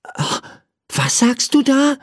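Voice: medium pitch, very animated, slow pace, darkish tone, slightly rough, no room sound, studio quality, pitched above the performer's own voice, full character voice. A storyteller voicing a curious, earnest little boy, wide-eyed and eager, in a children's story.

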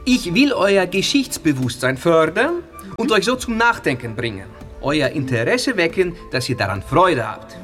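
An actor playing a friendly, slightly nervous young history teacher from northern Italy, speaking. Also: male voice, on the high side, very animated, moderate pace, faint music behind. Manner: polite, warm, explaining. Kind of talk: audio drama acting.

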